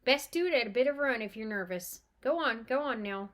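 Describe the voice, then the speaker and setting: A woman voicing a brisk, fond mother who firmly instructs her children in a storybook. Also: a little high, lively intonation, fairly bright tone. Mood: reassuring.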